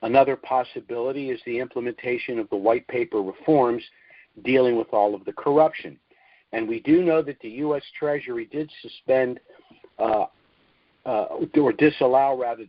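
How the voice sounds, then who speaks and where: A man hosting an investment update call, explaining things informally in a measured monologue with emphasis on key words. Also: mid-range voice, no room sound. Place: conference call, dry close sound. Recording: dull, lo-fi conference call audio.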